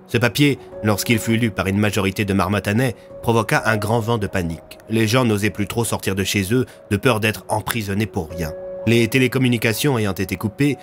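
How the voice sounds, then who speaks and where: A man narrating scripted audio fiction as a storyteller. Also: fairly low pitch.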